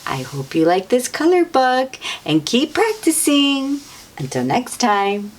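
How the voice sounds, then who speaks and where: A woman's voice: on the high side, slow pace, background noise. A woman reading a picture book aloud to young children in an encouraging, sing-song manner, as the host. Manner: animated, encouraging, sing-song talk addressed to small children.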